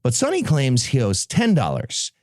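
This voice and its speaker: A man's voice: mid-range voice, wildly swooping pitch, moderate pace, a little mellow, no room sound, clean studio sound. A sardonic, emphatic man presenting a comic podcast summary, swearing freely.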